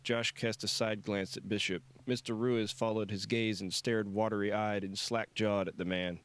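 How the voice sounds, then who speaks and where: A man's voice: fairly low pitch, flat delivery, normal speed, no room sound. A man reading scripted fiction as an audiobook narrator.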